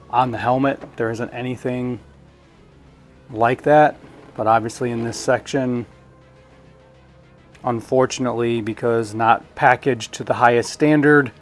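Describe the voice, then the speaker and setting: Casual male product reviewer over background music. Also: mid-range voice. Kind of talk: casual hands-on unboxing review.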